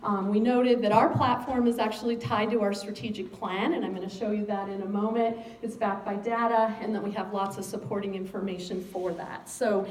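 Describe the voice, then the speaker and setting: A woman's voice: medium pitch, fairly even intonation, brisk, hardly any pauses. Woman giving a talk in an echoey room. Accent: American. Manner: frequent ums, speaks of having a loud voice. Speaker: school superintendent. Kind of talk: public speech to a civic gathering. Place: meeting room. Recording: echoey room, distant mic, faint noise.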